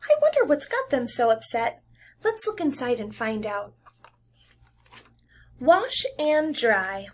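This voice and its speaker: A young adult woman reading a picture book aloud to young children as their teacher, expressive and animated, with sound effects and pauses to explain. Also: on the high side.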